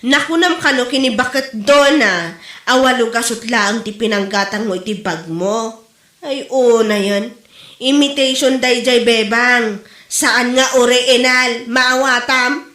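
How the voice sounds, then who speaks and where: A woman's voice: on the high side, unhurried, sharp tone. A woman with an Ilocano accent playing a proud, indignant wife in a comedy drama, boasting and scolding. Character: proud, indignant wife boasting of her genuine luxury bag. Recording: roomy, echoing sound.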